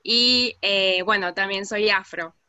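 A woman's voice: medium pitch, takes it slowly, clean tone, no room sound. Young woman w caracas accent, dry muffled audio, easygoing podcast guest talk. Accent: Venezuelan Spanish, Caracas. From Venezuela. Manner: relaxed, lots of fillers, laughs easily. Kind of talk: casual podcast interview.

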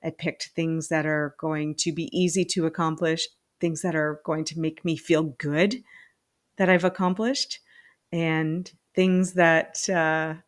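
A woman in her late forties hosting her own podcast, talking candidly and with self-deprecating humor, laughing often. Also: lowish voice. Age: 40s. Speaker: podcast host. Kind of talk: personal solo podcast monologue.

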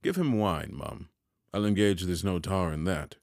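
A man reading an audiobook who voices a pompous, jovial older merchant, pitched higher and reedier than his narration. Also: very animated, normal speed, gravelly, slightly rough, dry recording, studio quality, full character voice. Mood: pompous gushing. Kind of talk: audiobook character voice.

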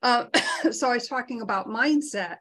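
A woman's voice: fairly high pitch, normal speed, a bit bright. A woman co-hosting a live talk show over a video call, talking in a frank, down-to-earth, self-deprecating way. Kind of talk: casual motivational chat.

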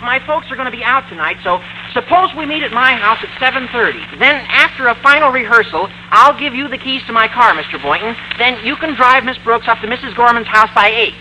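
An actor playing an eager, bossy teenage schoolboy in an old-time radio comedy, with a brash, squeaky adolescent delivery. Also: male voice, animated, a little fast, hardly any pauses, pushed and bright, full character voice, low-quality recording. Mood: bossy, directing. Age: teenage character. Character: eager, bossy high-school boy, excitable schemer. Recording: vintage radio recording with faint noise.

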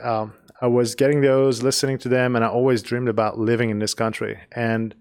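A man's voice: medium pitch, clear, resonant tone. Earnest Iranian-born man, podcast host. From Iran. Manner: earnest, pauses and restarts often. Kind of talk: casual podcast conversation.

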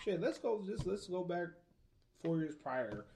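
A young man in casual conversation, arguing slowly with many restarts and false starts. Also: high voice, very animated, creaky, darkish tone, slight background noise. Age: young adult. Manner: hesitant, restarts a lot, laughs. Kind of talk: casual debate chat.

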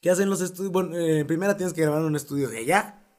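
Brief: Mexican male co-host, animated and explanatory, slightly raised pitch. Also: racing. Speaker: podcast co-host. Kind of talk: casual chat.

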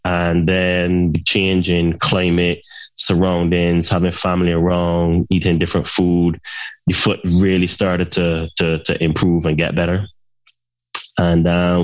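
A man's voice: deep voice, no echo, muffled recording. A middle-aged man from Barbados, a podcast guest telling his life story in a rambling way, with many fillers and restarts. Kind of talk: casual storytelling.

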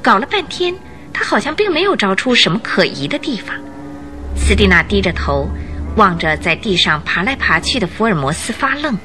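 A woman giving expressive, suspenseful scripted narration for a detective radio drama. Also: mid-range voice, normal speed, faint music behind.

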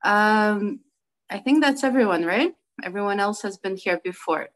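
A woman co-hosts a video call meetup. Her voice sits at an ordinary pitch, and she talks informally with fillers and restarts, keeping things friendly. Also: animated, normal speed. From Brooklyn, New York. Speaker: co-host.

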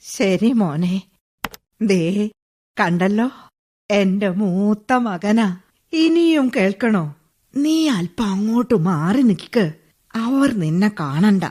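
An actress playing an old bedridden mother in a radio play, speaking slowly in a husky, resigned voice. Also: medium pitch, lively intonation, darkish tone. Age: older. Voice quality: husky, slow and heavy. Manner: resigned, tearful at times. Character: old bedridden widowed mother, weary and bitter. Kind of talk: radio drama acting.

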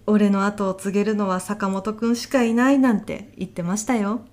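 An elderly widow played by a woman in a short radio comedy. Her voice is mellow and warm in tone, at a medium pitch. She speaks softly and courteously, answering with puzzled, deadpan politeness. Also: normal speed, lightly acted. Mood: polite, wistful. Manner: soft-spoken, polite, deadpan reactions. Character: elderly widow, polite housewife, increasingly bewildered. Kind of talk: audio comedy sketch acting.

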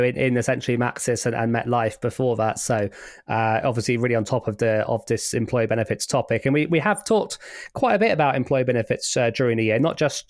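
A man hosts an interview podcast in a mid-range voice. He talks haltingly, doubling back on words. The sound is very dry, with little room in it. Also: medium pitch, dry recording. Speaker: podcast host.

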